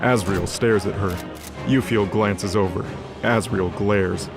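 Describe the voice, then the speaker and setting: A man's voice: fairly low pitch, fairly even intonation, takes it slowly, creaky. A man narrating a scripted fantasy audio drama in a dramatic storytelling style. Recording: loud music under the voice.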